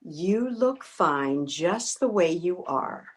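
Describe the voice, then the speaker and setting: A woman's voice: fairly low pitch, lively intonation, takes it slowly. A woman playing a bossy, practical older woman in a comic readers theater piece, impatient and scolding but caring underneath. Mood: resigned, softer. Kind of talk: comedic readers theater acting.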